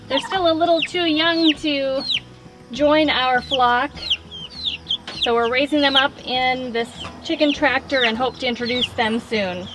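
A woman with a fairly high voice chats to camera for a farm vlog. She is outdoors, with birds and clucking chickens clearly audible behind her. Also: very noisy. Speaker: homesteader and farm vlog host. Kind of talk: casual farm update to camera.

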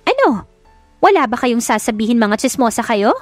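A woman voicing a jealous, furious young woman, a scorned rival in love, shrill and dramatic, in an audiobook. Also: big pitch swings, moderate pace, no room sound, soft background music. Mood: indignant shouting. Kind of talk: dramatic audiobook character acting.

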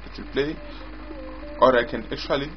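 Man demonstrating software over loud music. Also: medium pitch. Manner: halting, repetitive explanations in short phrases. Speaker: software developer demonstrating own app. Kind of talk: unscripted screen-recording software walkthrough. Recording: dull lo-fi audio with loud music.

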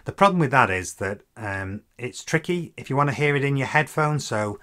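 A man in his fifties explaining software in an informal tutorial, hesitant and halting, with frequent ums. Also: medium pitch, animated. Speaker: presenter of a flight simulator tutorial series.

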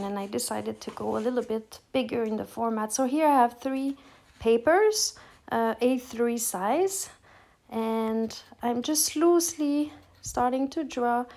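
A middle-aged woman from Quebec whose first language is French, speaking English with a Quebec French accent as she calmly talks through her art process with many pauses and fillers. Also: on the high side, creaky. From Quebec, Canada. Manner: relaxed, hesitant, many fillers and restarts. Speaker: artist demonstrating her process. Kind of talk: casual voice-over tutorial.

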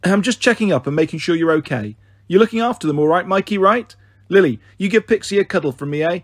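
A man's voice: high-pitched, expressive, quick pace, no echo, clean studio sound. A man putting on a chirpy British mum. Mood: breezy. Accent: British English. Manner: breezy, chirpy, airy and careless. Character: chatty cheerful working-class mum on a payphone.

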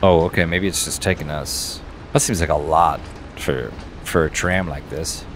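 A man's voice: rumbling low, moderate pace, background noise. A man giving casual let's play commentary, muttering half to himself and half to the game. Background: video game sound.

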